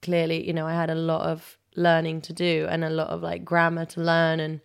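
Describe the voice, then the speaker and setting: Smooth-voiced young Englishwoman, rambling. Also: low voice, completely flat delivery, fairly quick. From England, London-born, raised partly in Kosovo. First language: Albanian. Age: 20s. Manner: rambling, hesitant, heavy on "like" and "you know". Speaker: guest. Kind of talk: casual chat.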